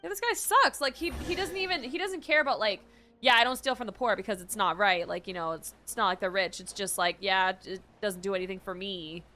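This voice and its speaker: A young woman streamer giving casual, slangy, expressive live reactions as she plays a game. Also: mid-range voice, a little fast, soft background music.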